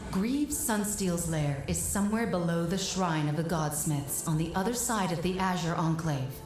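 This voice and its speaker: Fantasy game guide, acted by a woman, solemn advisor, lowish female voice, formal, earnest, echoey, music behind. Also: normal speed, close to an everyday voice. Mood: instructive. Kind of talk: video game cutscene acting. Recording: roomy echo, music behind.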